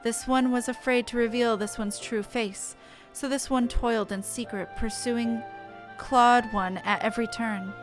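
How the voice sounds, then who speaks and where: A woman's voice: fairly high pitch, steady tone, measured pace, music in the background, natural voice. A woman voicing an earnest forest sylph from a fantasy game, speaking in stilted, formal phrases. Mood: solemn, confessional.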